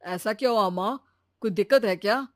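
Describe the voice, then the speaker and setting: A woman playing a supportive, reasonable adult son in a cartoon story, talking in a calm, reassuring way. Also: mid-range voice, moderate pace, no echo, close to an everyday voice. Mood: concerned, reassuring. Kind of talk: Hindi cartoon story dubbing.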